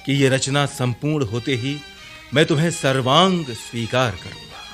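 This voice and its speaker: A man's voice: mid-range voice, normal speed, a bit bright, soft background music, close to an everyday voice. An actor playing a playful, amorous ancient poet-king in a Hindi radio drama, with a declamatory, romantic delivery. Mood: ardent, solemn. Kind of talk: theatrical radio drama in formal Sanskritized Hindi.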